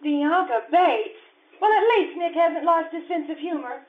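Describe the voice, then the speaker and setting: A woman's voice: very high voice, measured pace. A woman acting a flighty, scheming wife back from being thought drowned, in a theatrical, exclamatory radio-play manner. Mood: shocked, wry. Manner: dramatic, exclamatory, coy. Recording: tinny narrow-band live stage recording.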